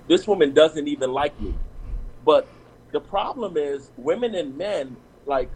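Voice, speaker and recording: Male panelist, slightly raised pitch, casual storyteller, faint hiss in the background. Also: a little mellow, low-quality recording. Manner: conversational, restarts and "you know what I'm saying". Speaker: panelist on a discussion show. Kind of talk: casual group chat, telling personal stories.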